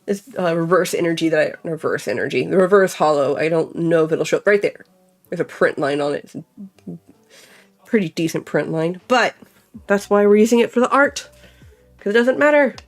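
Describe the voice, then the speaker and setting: Chatty lower-voiced woman crafting. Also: moderate pace. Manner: chatty, thinks aloud, many fillers like "like". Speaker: crafting vlogger. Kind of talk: casual chat while crafting.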